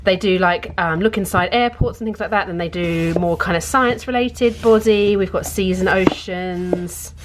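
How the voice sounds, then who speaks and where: A woman's voice: fairly low pitch, moderate pace, hardly any pauses, noisy background. A woman giving a casual, informal tour at home, explaining things in a chatty way as a parenting vlogger. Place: indoors at home, in a playroom.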